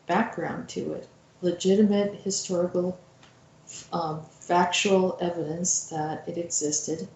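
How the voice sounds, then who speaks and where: Lady host w slightly low voice, talking slow and halting, kinda reflective, echoey room. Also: a little mellow, highs cut off. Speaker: host introducing a story. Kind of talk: informal spoken commentary. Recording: roomy, echoing voice recording.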